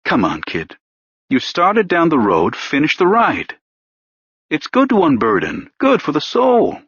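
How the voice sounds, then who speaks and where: A man's voice: a little high, huge pitch swings, unhurried, a bit bright, husky, no echo, pitched above the performer's own voice, heavily acted. A man voicing a male police detective who presses and mocks a suspect, speaking in a pushy, sarcastic way in an audiobook reading. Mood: coaxing. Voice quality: mocking, pushy. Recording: dull, lo-fi dry recording.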